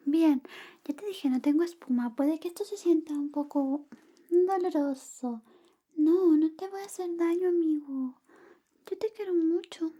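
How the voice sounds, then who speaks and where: A woman speaking softly and often whispering in an ASMR roleplay, playing an affectionate, playful best friend. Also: sits high. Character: affectionate, clumsy female best friend giving a shave. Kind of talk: ASMR personal attention roleplay.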